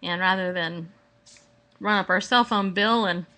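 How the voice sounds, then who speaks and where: A woman hosting a casual solo podcast at home, chatting in a playful, rambling, animated way. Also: lowish voice, measured pace. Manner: playful, rambling, acts out mock exchanges. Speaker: podcast host. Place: at home, indoors.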